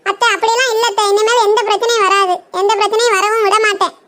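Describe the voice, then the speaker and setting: A woman's voice: extremely high pitch, steady tone, fast talker, sharp tone. A woman voicing a polite, determined housewife and mother in a cartoon parody, speaking respectfully and reassuringly. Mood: earnest, respectful.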